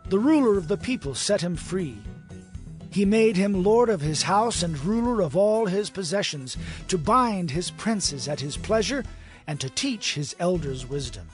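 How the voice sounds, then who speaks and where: A man reads scripture in a dramatized audio production, his voice sitting high and moving freely up and down. The delivery is measured and expressive. Gentle music plays underneath. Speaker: scripture narrator. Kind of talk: dramatized Bible reading. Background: soft music.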